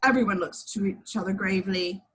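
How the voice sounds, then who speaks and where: A woman's voice: mid-range voice, fairly quick, fairly bright tone, breathy edge. A woman reading screenplay action lines as the narrator of a table read. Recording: home recording.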